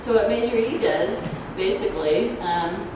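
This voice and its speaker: A soft-voiced woman giving an informal explanatory talk at a public forum, speaking in a halting, hesitant way. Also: medium pitch. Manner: halting, hesitant, loses her thread. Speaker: ballot measure proponent, debate speaker. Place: community grange hall. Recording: echoey hall, distant noisy microphone.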